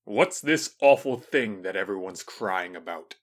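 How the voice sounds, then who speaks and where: A man's voice: deep voice, lively intonation, unhurried. A man playing an irritated, imperious prince in an audio drama. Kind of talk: audio drama acting of modernized Shakespeare.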